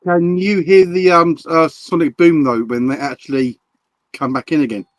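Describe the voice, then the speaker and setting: A man's voice: a little high, steady tone, moderate pace, no room sound. A man streaming a game, chatting casually with friends in a rambling, stammering way and swearing often. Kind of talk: casual voice chat while gaming.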